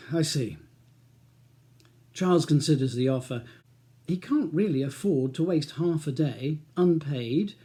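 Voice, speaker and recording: A male author narrates his crime novel in scripted audiobook style. His voice is a little higher than average for a man and very mellow, with soft edges. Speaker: author reading own audiobook.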